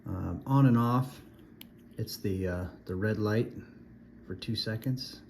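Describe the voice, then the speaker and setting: A man with a fairly low voice talks through a product review in a casual, plainspoken way. He instructs step by step, as in a hands-on demonstration. There is some background noise in the amateur recording. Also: takes it slowly, gravelly, darkish tone.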